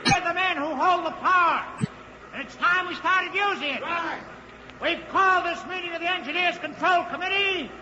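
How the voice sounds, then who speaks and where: High-pitched, shouting rebel ringleader, old radio. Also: male voice, normal speed, heavily acted. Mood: angry, demanding. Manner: forceful, declamatory, defiant. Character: angry rabble-rousing engineer leading a rebellious union meeting. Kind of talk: 1950s radio acting, shouted speech to a crowd. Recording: muffled noisy old radio, crowd behind.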